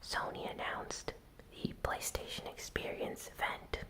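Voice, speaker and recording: A host whispering a gaming news recap in ASMR style, with soft, unhurried, deliberate phrasing. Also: low-quality recording.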